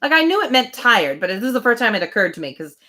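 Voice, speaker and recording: A woman's voice: on the high side, sing-song, fast, bright, forceful tone. A woman hosting a live reading, narrating a classic novel and dropping into casual chat about it. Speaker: host of live book readings.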